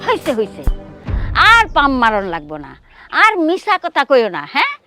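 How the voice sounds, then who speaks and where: A woman's voice: sits high, wildly swooping pitch, moderate pace, pushed and bright, faint music behind, heavily acted. A woman playing a middle-aged, spiteful and greedy mother-in-law in a TV drama, scolding scornfully with a rural Bangladeshi accent. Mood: accusing, domineering. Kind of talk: melodramatic Bangla TV drama acting.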